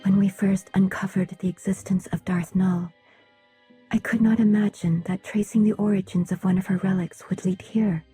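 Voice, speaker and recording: Breathy, grave mystic woman. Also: mid-range voice, steady tone, dark tone, soft background music. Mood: solemn. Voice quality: husky, breathy. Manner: measured, formal, grave. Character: solemn mystic seer, grave and secretive woman. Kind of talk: dramatic video game cutscene acting.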